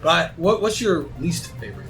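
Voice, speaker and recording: A fast-talking man with a lively, swinging pitch chats casually on a panel and asks questions. Faint music sits behind his voice. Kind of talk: casual chat.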